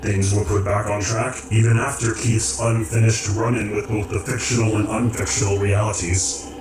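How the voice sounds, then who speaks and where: A man in a radio drama playing a calm, commanding creator of realities who speaks in measured, formal, cryptic phrases. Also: on the low side, background noise, close to an everyday voice. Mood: calm, authoritative. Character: calm, commanding cosmic creator, male, cryptic philosophical overseer. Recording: roomy echo, distant, music underneath.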